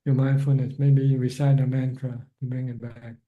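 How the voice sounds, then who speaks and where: A man's voice: mid-range voice, level tone, normal speed. A man guiding a caller in meditation over a video call, speaking plainly with many restarts. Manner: plain, repetitive guidance with restarts. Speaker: meditation teacher answering questions. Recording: dull lo-fi call audio.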